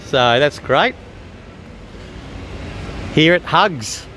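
A man giving casual, unhurried walk-around commentary as a travel vlogger, chatting about what he observes. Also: on the high side, lively intonation, sharp tone. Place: walking around an open-air shopping mall. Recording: outdoor urban and traffic noise.

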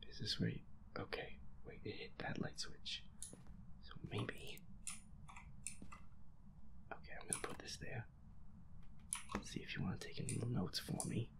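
A man muttering feisty, sweary commentary to himself as he plays a horror game, in a breathy voice. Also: lowish voice, steady tone, measured pace, pauses often, in a whisper. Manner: feisty, grumbling, swears constantly. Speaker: gamer playing a horror game as audio roleplay character. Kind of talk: hushed gaming commentary, muttering to self.

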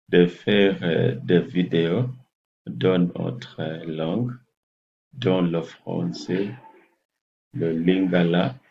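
A man in his forties with a Kenyan English accent talks to his webcam, a native English speaker hunting for each word as he goes. His voice sits very low with a gravelly fry, and the pace is unhurried. The sound is muffled and lo-fi, like a laptop mic in a small room. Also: a little mellow. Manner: slow, halting, searching for French words. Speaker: health channel host. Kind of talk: casual address to camera. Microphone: laptop webcam at desk distance.

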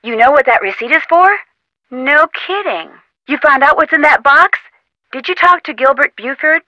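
Plucky young female sleuth, adventure game dialogue, fairly high pitch, dry close sound, persuasive and encouraging. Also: expressive, moderate pace, pushed and bright, no room sound, rough recording. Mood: brisk, curious. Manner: encouraging, insistent, conversational.

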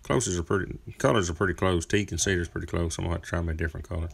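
A man in his thirties with a deep, heavily gravelly voice talks through his carving work outdoors. He keeps a flat, even tone and rambles in a relaxed, folksy way, full of small fillers. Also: racing. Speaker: chainsaw carver. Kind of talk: casual workshop narration. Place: outdoor driveway workspace.

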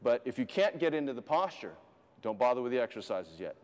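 A man's voice: mid-range voice, big pitch swings, brisk. Man explaining exercises in a reverberant clinic. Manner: explanatory, walks through posture cues step by step. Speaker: fitness and rehab instructor. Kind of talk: demonstration lesson to camera. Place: clinic studio with long reverb. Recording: reverberant room sound.

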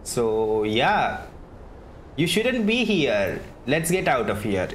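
A man doing casual playthrough commentary as a gaming streamer, halting as he thinks aloud. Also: medium pitch, sing-song, a little hiss or hum. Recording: game music behind voice. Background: video game music.

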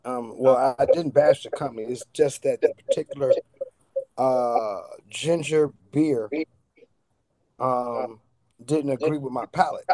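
A middle-aged man chatting casually as a radio guest, halting often in mid-sentence. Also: medium pitch, warm tone, rough recording. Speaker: radio guest, cannabis strain reviewer. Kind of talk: casual chat.

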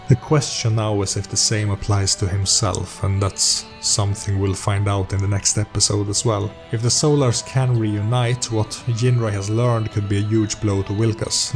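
A man narrating a fantasy story in a scripted audiobook style, as its host. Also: fairly low pitch. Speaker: narrator and host of a role-playing story series.

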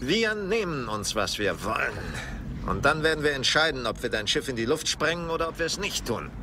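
An actor playing an arrogant German officer in a film dub, commanding and contemptuous. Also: male voice, mid-range voice, sing-song, brisk. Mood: cold threat. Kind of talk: dubbed film acting. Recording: noisy outdoor film soundtrack.

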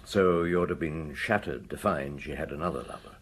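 A man playing a measured, probing but sympathetic police detective in a radio drama, deliberate and understated. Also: very deep voice, fairly bright tone, a little hiss or hum.

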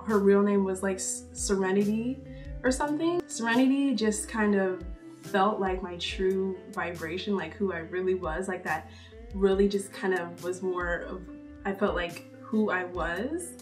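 A woman's voice: medium pitch, fairly even intonation, measured pace, far from the mic, faint music behind. A woman in her twenties talking casually to camera in a get-to-know-me vlog, upbeat and chatty with lots of "like" fillers. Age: 20s. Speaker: YouTuber. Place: indoor room, seated before purple backdrop.

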